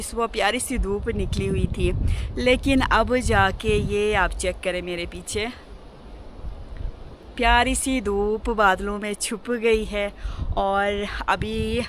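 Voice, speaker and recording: धीमी, बैठे गले वाली महिला व्लॉगर. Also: female voice, mid-range voice, slight background noise. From Gilgit-Baltistan, Pakistan. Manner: soft-spoken, fills with polite "ji". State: hoarse, sore throat. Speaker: village vlogger. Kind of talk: casual vlog narration. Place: home village, partly outdoors on rooftop and in garden.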